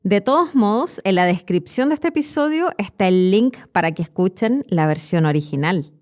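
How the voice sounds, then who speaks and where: A Chilean woman in her thirties hosts an educational podcast. Her voice sits at a middle pitch, and she reads her script like an expressive storyteller. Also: normal speed. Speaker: podcast host and Spanish teacher.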